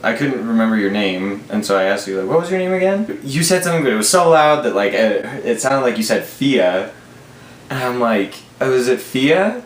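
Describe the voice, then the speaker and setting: A man's voice: mid-range voice, very animated, brisk, a little hiss or hum. A man in his twenties casually telling a story, animatedly acting out the remembered dialogue. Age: 20s. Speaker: storyteller in a couple's vlog. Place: small living room, indoors. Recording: roomy echo.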